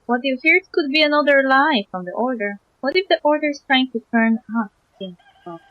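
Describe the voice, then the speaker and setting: A woman's voice: high voice, expressive, normal speed, no echo. A woman streamer giving casual, opinionated and irreverent commentary on a game, close to a slightly dull microphone.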